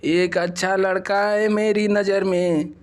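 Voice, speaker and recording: A frail, poor old father acted by a woman, gentle and resigned. The delivery is very slow and weak, pitched down for an audio storybook. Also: level tone, natural voice. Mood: frail, earnest.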